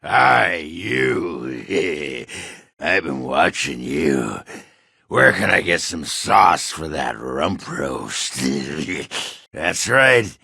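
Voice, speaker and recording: A man playing a crude, boozy, belligerent dwarf warrior in a video game, with a raspy, guttural voice and a coarse, blustering, swaggering manner. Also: very deep voice, very animated, extremely slow, pushed and bright, no room sound, clean studio sound, full character voice. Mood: lecherous, leering. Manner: coarse, blustering, swearing, slurred drunken swagger. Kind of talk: video game character acting.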